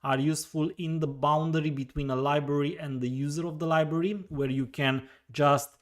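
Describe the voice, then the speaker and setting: A man's voice: on the high side, fairly even intonation, a little fast. A man with a Romanian accent hosting a live question-and-answer session, thinking aloud with frequent fillers and repeated words. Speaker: host answering viewer questions.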